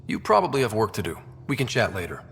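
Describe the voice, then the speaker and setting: An actor playing a young explorer and team leader in a video game, husky, easygoing and curious. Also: male voice, fairly low pitch, very animated, fast talker, fairly bright tone, in a large hall, slight background noise, exaggerated voice. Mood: curious, gentle. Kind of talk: video game character acting.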